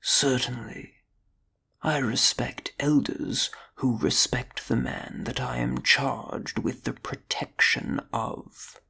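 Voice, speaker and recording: A grim bodyguard, put on by a man, speaks in a deeply gravelly, husky rasp, slow and level. Every word lands cold and clipped, close to a threatening hush. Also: steady tone, heavily acted. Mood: coldly menacing. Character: stern, protective human swordsman bodyguard.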